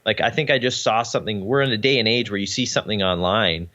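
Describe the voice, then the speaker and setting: A man's voice: lowish voice, fast talker, bright, forceful tone, muffled recording. A young Canadian man, a podcast guest, talking earnestly in a casual interview with frequent ums. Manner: earnest, uses many ums.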